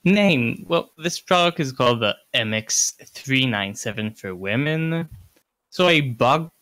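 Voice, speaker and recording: A woman's voice: rumbling low, animated, measured pace, fairly bright tone, dry recording. A woman on a podcast, casually reading product reviews aloud. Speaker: podcast reader.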